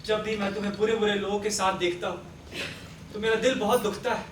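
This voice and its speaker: Echoey, high-pitched earnest man acted on stage. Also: fairly even intonation, fairly quick, natural voice. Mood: tender, persuasive. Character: earnest, persuasive family man courting a troubled woman. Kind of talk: Hindi stage play acting, projected. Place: theatre stage, recorded from a distance. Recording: echoey stage, distant mic, faint noise.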